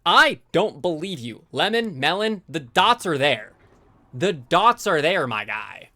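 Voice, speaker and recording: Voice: medium pitch, very animated, a little slow, pushed and bright. A streamer reading a review aloud in a casual live commentary style, breaking off for informal, sweary asides.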